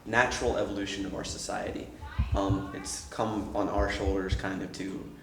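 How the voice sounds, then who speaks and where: Earnest teenage boy, low and roomy. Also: flat delivery. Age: teens. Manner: halting, filler-heavy, earnest. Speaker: high school actor. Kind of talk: casual interview answers. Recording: echoey room with noise.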